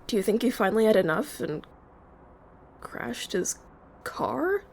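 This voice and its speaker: A woman's voice: on the high side, big pitch swings, a little slow, creaky, slightly rough, heavily acted. A woman acting in an audio drama as a young night security worker, reserved and hesitant but firm. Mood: curious. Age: young adult.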